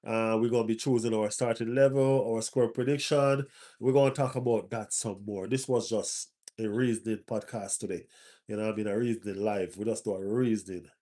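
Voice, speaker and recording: A man's voice: lowish voice, steady tone, brisk, mellow. Stuffy-nosed man chatting on a live stream. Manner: repeats phrases for emphasis. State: stuffy nose. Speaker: football fan streamer. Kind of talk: casual live stream chat.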